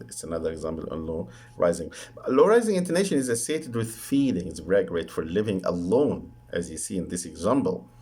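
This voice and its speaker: Low male voice, Egyptian Arabic accent, academic presentation, halting and deliberate delivery. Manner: halting, deliberate, with drawn-out hesitations. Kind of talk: conference paper presentation.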